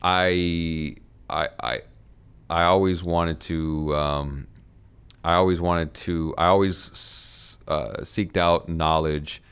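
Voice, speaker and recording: Very deep-voiced man in his thirties. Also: fairly even intonation, fairly bright tone, clean tone. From United States. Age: 30s. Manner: halting, many fillers and restarts, acts out his own quotes. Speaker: podcast guest, fitness coach. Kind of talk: casual conversation.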